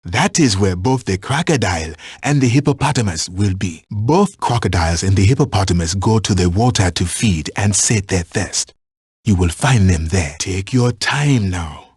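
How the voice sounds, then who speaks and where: A man playing a watchful African safari hunting guide in a video game, giving instructions in measured, formal phrasing. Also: low-pitched, expressive, darkish tone, slightly rough, dry recording, studio quality, heavily acted. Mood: calm, instructive. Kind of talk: scripted video game voice lines.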